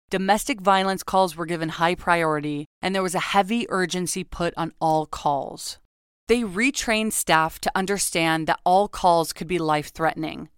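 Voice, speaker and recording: Soft-spoken woman podcast co-host. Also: lowish voice, clean tone, dry recording, studio quality. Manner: soft, earnest delivery. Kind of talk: true crime podcast narration and listener shout-outs.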